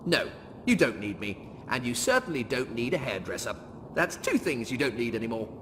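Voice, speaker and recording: A man's voice: mid-range voice, big pitch swings, moderate pace, big-room sound, noisy background, natural voice. A man voicing a smug, pompous male hologram officer with a sneering, sarcastic manner. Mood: sneering, breezy.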